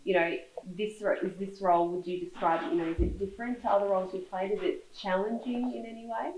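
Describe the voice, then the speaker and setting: A woman's voice: mid-range voice, steady tone, fast. A woman interviewing an actor, asking questions hesitantly and responding encouragingly. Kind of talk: casual interview. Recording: echoey, muffled, with crowd behind.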